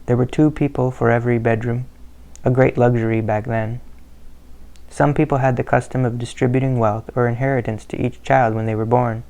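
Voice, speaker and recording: A man reads a family history aloud in a steady, measured way. His voice stays flat and even and has a gravelly edge. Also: medium pitch. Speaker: narrator. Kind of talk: scripted narration of a family history.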